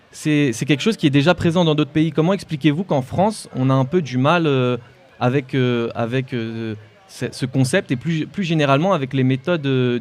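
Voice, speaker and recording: A man co-hosting a radio interview, asking long questions with halting repeats. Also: medium pitch, a little mellow. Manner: halting, repeats words while forming questions. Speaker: radio co-host.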